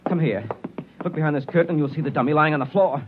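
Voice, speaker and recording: A man's voice: fairly high pitch, quick pace, noisy background, close to an everyday voice. An actor playing a frantic, earnest man desperate to be believed, agitated and stammering in a 1950s radio drama. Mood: urgent pleading. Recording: dull old radio recording.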